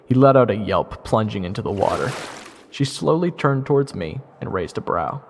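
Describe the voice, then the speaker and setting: A man's voice: lowish voice, animated, normal speed, slight background noise. A man playing a sarcastic, easily exasperated young would-be knight who also narrates his fantasy adventure. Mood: wry narration. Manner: wry, incredulous, casual. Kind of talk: fan audio roleplay with first-person narration.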